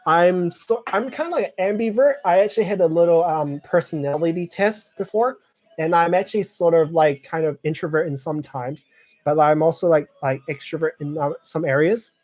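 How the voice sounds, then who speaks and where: A man's voice: fairly high pitch, moderate pace, a little mellow. Rambling young man, lots of fillers. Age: 20s. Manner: clears throat often. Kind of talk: casual live chat reading viewer comments.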